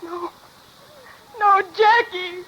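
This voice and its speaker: A desperate gun moll, crying and pleading in a very high, sharp voice. It is hysterical vintage radio melodrama with faint background noise. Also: female voice, steady tone, very slow, dull audio, exaggerated voice. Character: desperate, lovesick, hysterical gangster's girlfriend. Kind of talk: melodramatic 1940s radio acting.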